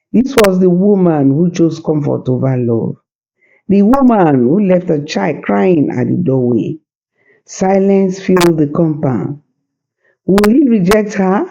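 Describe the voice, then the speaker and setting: A storyteller narrating a folktale in a slow, deliberate, suspenseful and dramatic way. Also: medium pitch, muffled recording. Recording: hissy background noise.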